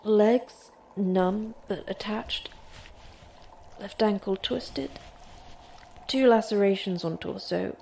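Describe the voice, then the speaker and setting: A hurt, sardonic woman, speaks slowly in clipped deadpan fragments with strained breath. Her mid-pitched voice sits over faint ambience in a horror audio drama. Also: gravelly, slight background noise. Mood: pained, methodical. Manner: clipped, deadpan, listing to self. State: hurt, labored breathing, sighing. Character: injured, terse, darkly sardonic manager fighting to stay focused.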